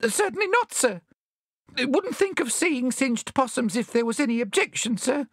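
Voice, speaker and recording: A tiny, terrified storybook bandicoot. The voice is pitched far up, thin and quavering, and it stammers along obsequiously, repeating itself in fright. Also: male voice, expressive, moderate pace, no room sound, clean studio sound. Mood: quavering, apologetic. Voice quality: shrill, quavering. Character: small terrified bandicoot, quavering, gasping and servile.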